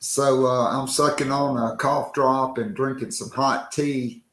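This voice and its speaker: A man hosting a sports podcast, talking casually and jokingly with a worn-out, hoarse voice. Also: mid-range voice, steady tone, a bit bright, reverberant. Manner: loose and joking, slow drawn-out delivery. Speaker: podcast host.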